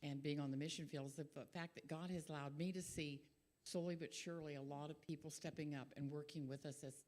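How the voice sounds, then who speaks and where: An older woman giving an earnest missionary testimony at church, steady with some fillers. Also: low-pitched, quick pace. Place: church sanctuary.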